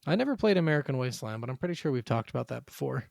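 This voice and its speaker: A man's voice: medium pitch, sing-song, very fast, vocal fry, a little mellow, no echo. A man co-hosting a podcast, chatting casually about video games in a blunt, emphatic, sweary way. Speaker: podcast co-host.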